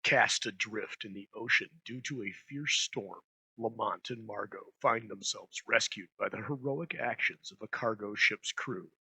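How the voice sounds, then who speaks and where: A male host reads an ornate, dramatic scripted introduction. His voice is forceful and sharp with a gravelly fry, and the sound is dry. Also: lowish voice, a little slow, dry recording, low-quality recording. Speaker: host introducing old radio episodes. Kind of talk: scripted narration.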